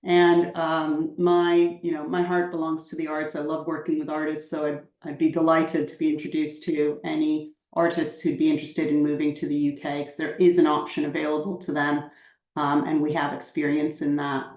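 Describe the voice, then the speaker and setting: An American woman with a Washington DC accent speaks in a low voice over a video call. She talks chatty and enthusiastic, with plenty of ums. The room around her echoes noticeably. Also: level tone, normal speed, darkish tone. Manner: chatty, filler-heavy, enthusiastic. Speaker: UK immigration advisor. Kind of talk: webinar self-introduction.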